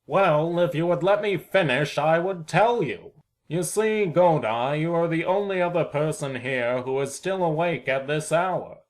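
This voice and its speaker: A man's voice: fairly high pitch, lightly acted, rough recording. A man playing a suave, pompous, shamelessly polite neighbour who likes a drink, in an amateur audio play. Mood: smug, explanatory. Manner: pompous, drawn-out, mock-courteous.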